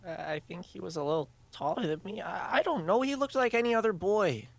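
An earnest young boy of about twelve played by a woman speaks in an audio drama. The voice swings widely in pitch, with hesitant, stammering phrasing and a stubborn, apologetic streak. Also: lively intonation, a little fast, gravelly, no echo. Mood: hesitant, unsure. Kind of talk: student audio drama acting.

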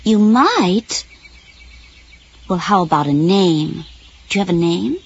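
An actress playing a flustered suburban woman in an audio drama, scolding but soft-hearted, in a natural style. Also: lowish voice, expressive, takes it slowly, vocal fry, slightly rough, lo-fi sound, heavily acted. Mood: gentle, concerned.

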